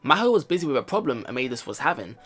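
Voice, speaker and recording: A man's voice: medium pitch, very animated, racing, fairly bright tone, faint music behind. A man hosting a let's play, casually commenting and reading story text aloud, rambling and repeating himself as he thinks aloud. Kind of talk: casual game commentary mixed with reading visual novel narration aloud.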